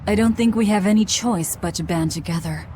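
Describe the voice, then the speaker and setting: A woman's voice: mid-range voice, fairly quick, slight background noise, lightly acted. A woman playing a cold, distrustful demon leader in a video game, with a terse, imperious delivery. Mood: resolute. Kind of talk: English video game dub acting. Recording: loud music behind voice.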